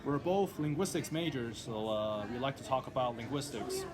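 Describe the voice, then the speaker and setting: A young man whose first language is Cantonese, talking in a relaxed, casual way with plenty of ums and uhs. His voice sits at an ordinary pitch, and a crowd chatters clearly in the background. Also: normal speed. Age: young adult. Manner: relaxed, frequent fillers. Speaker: linguistics student. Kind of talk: casual chat.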